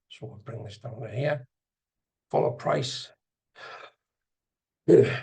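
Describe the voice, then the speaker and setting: A man giving casual live commentary as an instructor, gravelly and husky, sometimes muttering to himself as he explains. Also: medium pitch, warm tone. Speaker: trading instructor and video host. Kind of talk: casual live screen-share commentary on chart trading.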